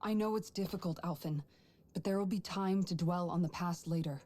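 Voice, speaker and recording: A woman plays a consoling female companion with a very mellow, slightly low voice. She is gentle but firm, steady in the manner of dramatic video game dialogue. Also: a little fast, lightly acted.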